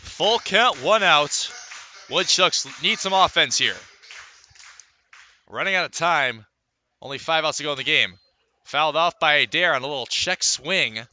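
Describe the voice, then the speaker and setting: A man doing live baseball play-by-play as a radio announcer, describing the action in a measured way with pauses between calls. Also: a little high, animated, bright, forceful tone. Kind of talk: live sports commentary.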